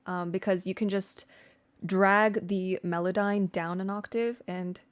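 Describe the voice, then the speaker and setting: A woman's voice: medium pitch, fairly even intonation, unhurried. A woman streamer and singer giving an informal, chatty vocal tutorial, with slangy asides and replies to viewers. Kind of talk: casual livestream tutorial with chat replies.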